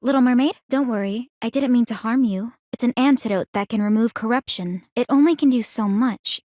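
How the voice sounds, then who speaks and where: An actress performs a young woman strategist in a video game with a somewhat high voice. She is composed and analytical, choosing formal words with measured, articulate delivery. The sound is very dry, with no room around it. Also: warm tone, no echo, close to an everyday voice. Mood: composed, confident. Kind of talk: scripted video game character dialogue.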